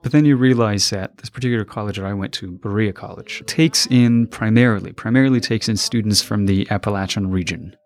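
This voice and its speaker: A young man from Afghanistan with a fairly low voice tells personal stories in a reflective, unhurried way. He stops to search for the right words, then livens up when he retells a scene. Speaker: interviewee, college alumnus.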